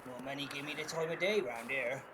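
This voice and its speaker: An actor playing a wry old village outcast in an audio drama. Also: male voice, medium pitch, quick pace, close to an everyday voice. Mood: grumbling, wry. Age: older. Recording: noisy home recording with ambience.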